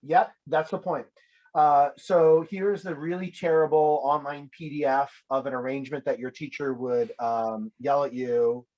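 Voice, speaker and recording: A man's voice: mid-range voice, fairly even intonation, a little slow, lo-fi sound. A man hosting a casual game show over a video call, playful and teasing, with rambling restarts. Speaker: host.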